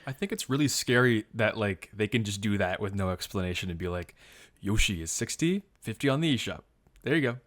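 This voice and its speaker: A man's voice: lowish voice, expressive, brisk, fairly bright tone. A man chatting informally as a panelist on a podcast. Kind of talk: casual chat.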